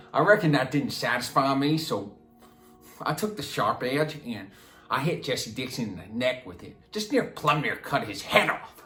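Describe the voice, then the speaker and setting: An actor playing a simple, slow, matter-of-fact rural Southern man who confesses in a halting, plain-spoken, repetitive drawl, as a dramatic film monologue. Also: medium pitch, lively intonation, a bit bright. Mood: grimly intense. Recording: roomy echo, music underneath.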